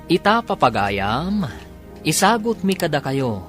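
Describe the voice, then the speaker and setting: A man formally announcing a radio drama series in a measured, declamatory way. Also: fairly high pitch, big pitch swings, a bit bright. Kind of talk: formal scripted series introduction. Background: music.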